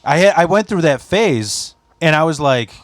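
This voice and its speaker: A man in his thirties with a Polish accent, hosting a casual podcast in a basement, relaxed and agreeable. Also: on the high side, moderate pace, no room sound. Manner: laid-back, agreeable, swears casually. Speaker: podcast host.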